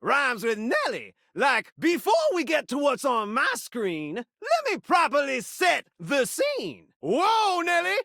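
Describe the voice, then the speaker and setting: A man's voice: very high voice, huge pitch swings, takes it slowly, gravelly, few pauses, bright, forceful tone, no echo, clean studio sound, full character voice. A man voicing a flirtatious, hyper talking television in a video game, a brash showman speaking in theatrical rhymes. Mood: excited, flirty showboating. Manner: brash showman, rhyming catchphrases.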